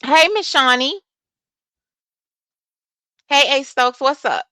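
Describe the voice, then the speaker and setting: A soft-voiced woman with hosts a live chat, her tone bright and forceful. She sits close to a dry microphone and answers viewers in an animated way, cursing freely. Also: high-pitched, slow, no room sound. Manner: animated, talks back to chat, curses freely. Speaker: livestream host.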